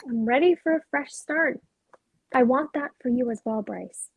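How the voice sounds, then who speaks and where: A woman's voice: high voice, normal speed, darkish tone, breathy edge, highs cut off. A woman acting a restless, searching female character who longs for change, in a dramatic script reading. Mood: gentle, resolved.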